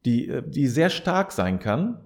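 A man's voice: mid-range voice, sing-song, a little slow. A man speaking in a reflective, explanatory podcast monologue, searching for his words and correcting himself as he addresses the listener. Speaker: podcast host.

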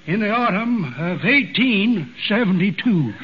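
A cackling old-timer yarn-spinner. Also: male voice, high-pitched, animated, a little slow, crowd noise. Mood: folksy yarning. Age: older. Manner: drawling folksy yarn-spinning, chuckles. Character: cackling old male tall-tale teller. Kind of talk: 1940s radio comedy acting. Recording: dull old radio recording, faint hiss.

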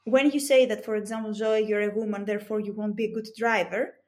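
A woman's voice: medium pitch, steady tone, quick pace, a little mellow. A woman with a Greek accent giving methodical, explanatory answers with examples as an interview guest. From Greece. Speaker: interview guest, business coach and psychology expert.

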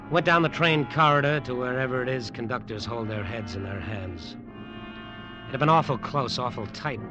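An actor playing a cocky, scheming American detective in a tough, clipped, sardonic 1950s radio noir style. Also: male voice, mid-range voice, close to an everyday voice. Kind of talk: 1950s radio noir acting, first-person narration and dialogue. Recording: noisy old radio drama recording.

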